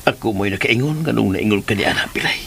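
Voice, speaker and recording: A man playing a devoted, gentle middle-aged partner in a radio drama, coaxing and expressive as he talks. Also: lowish voice, fast talker, gravelly, slight background noise. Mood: tender, reassuring. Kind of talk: Philippine radio drama acting. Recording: radio drama with music bed. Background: music.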